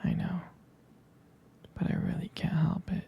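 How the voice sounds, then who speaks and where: A man playing a tender, jealous, devoted boyfriend in an intimate ASMR roleplay, speaking haltingly in a breathy, husky whisper. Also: muffled recording, close to an everyday voice. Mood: possessive, tender. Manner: hesitant, intimate, halting delivery.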